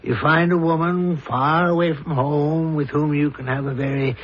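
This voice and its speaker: An actor playing a probing, self-assured psychiatrist in a 1970s radio drama, questioning a patient in a deliberate, insistent manner. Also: male voice, on the high side, fairly even intonation, close to an everyday voice. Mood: accusing, certain. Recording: dull, lo-fi radio drama recording.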